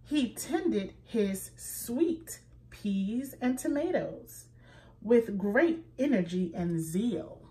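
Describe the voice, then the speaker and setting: A woman reading a children's picture book aloud, slow and expressive, pointing out letter sounds for young listeners. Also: mid-range voice, rough recording. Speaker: children's story reader.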